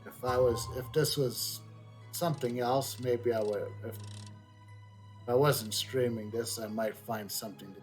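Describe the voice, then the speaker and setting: A man giving casual live game commentary, thinking aloud in a halting way. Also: mid-range voice, fairly even intonation. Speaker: game streamer. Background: soft game music.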